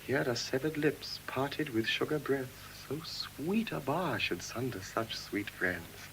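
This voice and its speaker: An actor with an English RP accent playing an earnest, romantic young Venetian lord in classical Shakespearean verse, with measured theatrical delivery. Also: male voice, mid-range voice, expressive, a bit bright, low-quality recording. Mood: rapt wonder. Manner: measured, theatrical, deliberate declamation. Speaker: stage actor. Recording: hissy vintage audio drama recording.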